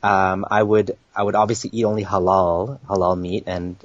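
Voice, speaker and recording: A man's voice: low voice, level tone, no echo. Young guy from kenya chatting as a guest, keeps restarting, dull dry audio. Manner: halting, many restarts and fillers. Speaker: interview guest. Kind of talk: casual interview talk.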